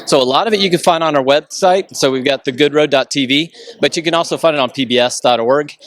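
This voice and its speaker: Fast-talking man, travel show co-host. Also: fairly high pitch, a bit bright. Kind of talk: red carpet interview answers.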